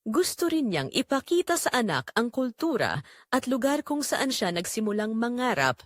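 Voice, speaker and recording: A woman narrating a romantic drama in a measured, sentimental storytelling manner. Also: fairly high pitch, big pitch swings, no echo, studio quality.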